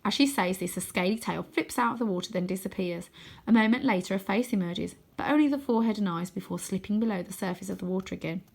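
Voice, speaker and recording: A woman reading a children's story aloud as expressive scripted narration. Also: mid-range voice, fast talker, gravelly. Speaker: storybook reader.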